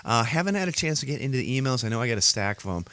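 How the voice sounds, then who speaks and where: A man hosting his own show in casual solo chat, his voice gravelly and worn today, rambling with fillers and restarts. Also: medium pitch, very animated, rapid-fire, fairly bright tone. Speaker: podcast host. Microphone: computer audio setup.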